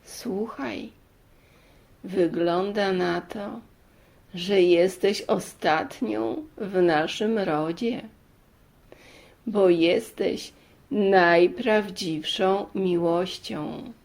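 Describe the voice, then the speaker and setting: A woman's voice: medium pitch, drawn-out words, vocal fry, darkish tone. A woman voicing a wise old grandmother in a storybook, solemn and confiding.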